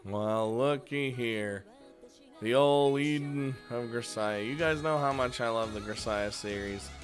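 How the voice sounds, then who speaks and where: A man's voice: mid-range voice, measured pace. A man giving a casual countdown review of games as host, talking informally and chuckling often between phrases. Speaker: host of a video game review series. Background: music.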